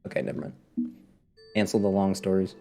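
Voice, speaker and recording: A man's voice: sits low, monotone, fairly quick, warm tone. A man giving casual live commentary on a video game, talking to himself and his viewers in a wry, sarcastic way. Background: game music.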